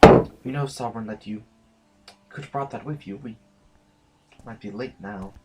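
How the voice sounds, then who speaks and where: A man's voice: on the low side, moderate pace, darkish tone, a little hiss or hum, lightly acted, rough recording. A man playing a prim, deferential, status-conscious official in an audio drama, speaking formally and fussily. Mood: prim, reproachful. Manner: formal, fussy, hesitant phrasing.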